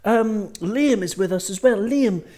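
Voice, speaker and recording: A man's voice: sits high, expressive, measured pace, darkish tone. A man hosting a football podcast, an upbeat, enthusiastic interviewer. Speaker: podcast host. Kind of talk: casual podcast chat.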